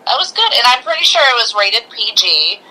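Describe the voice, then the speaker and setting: A woman with a very bright, forward voice talks casually as a review co-host. She sounds informal and enthusiastic, feeling her way through her thoughts in a halting, conversational way. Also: a little high, sing-song, poor audio. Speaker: podcast co-host reviewing films. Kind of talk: casual chat.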